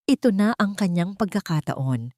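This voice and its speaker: A woman's voice: medium pitch, animated, normal speed, warm tone, dry recording, clean studio sound. A woman reading a scripted romance drama story as its narrator, in a dramatic storytelling style.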